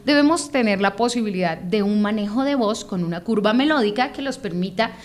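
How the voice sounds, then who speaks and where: A woman's voice: mid-range voice, a little fast. A woman from Bogotá with a Bogotá Colombian accent, a voice actress explaining her craft in an interview and demonstrating voices as she talks. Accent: Bogotá Colombian Spanish. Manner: expressive, demonstrates voices mid-sentence.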